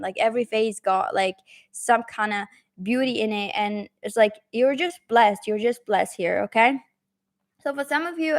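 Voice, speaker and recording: Rambling woman reading tarot cards. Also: on the high side. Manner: rambling, many fillers and restarts. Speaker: tarot reader. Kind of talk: pick-a-card tarot reading to the viewer.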